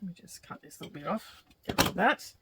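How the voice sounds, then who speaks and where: A middle-aged woman with a slightly croaky voice, chatting and thinking aloud as she works on a quilt for her craft channel. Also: on the low side, expressive, fast talker, heavy background noise. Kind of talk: casual craft vlog narration while working.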